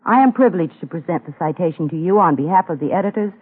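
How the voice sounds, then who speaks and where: A thin, phone-like 1950s radio recording of a woman presenting formally. Her voice is slightly husky and she reads from a script. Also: on the low side, animated, fast talker. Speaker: magazine editor presenting an award.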